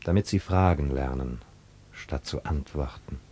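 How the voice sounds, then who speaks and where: Very deep-voiced enigmatic stranger. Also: male voice, a little mellow. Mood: calm, stern. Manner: measured, enigmatic, terse. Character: mysterious, calm, implacable male stranger who questions people. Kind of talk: German radio play acting.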